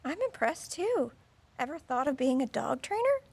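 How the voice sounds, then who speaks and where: A breezy female dog owner in an audio drama. Her high voice swings animatedly and has some creak, with a chatty, exasperated manner. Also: very animated, normal speed, a little mellow. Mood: friendly, teasing.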